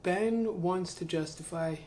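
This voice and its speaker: A man reading a spiritual book aloud to camera. He adds slow, musing commentary, questions himself, and trails off into mumbles. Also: high voice, a little mellow, slight background noise.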